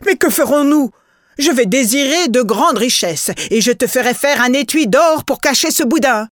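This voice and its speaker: A cautious, hot-tempered peasant husband from a storybook reasons and argues, voiced by a woman reader. His lines bounce with lively pitch. The recording is dry and close. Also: moderate pace, dry recording. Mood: apologetic.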